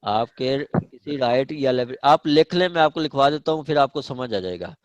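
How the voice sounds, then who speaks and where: A man's voice: medium pitch, normal speed, dry recording, dull audio. A man with a Pakistani accent teaching law in an online class, repeating and rephrasing key points and checking understanding. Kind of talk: online lecture, explaining legal definitions. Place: online class over screen share.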